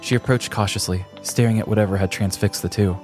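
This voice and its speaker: A man narrating a scripted story as a podcast host, in a measured, dramatic manner. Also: fairly low pitch, steady tone, a little mellow. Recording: music behind the voice. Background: background music.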